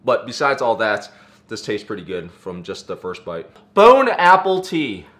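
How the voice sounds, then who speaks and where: A young man in his twenties, for whom English is not his first language, talking casually to camera in a vlog, excitable and slangy, often saying "dude" and "freaking". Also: lowish voice, measured pace. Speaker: vlogger. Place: car, then kitchen, then living room, indoors.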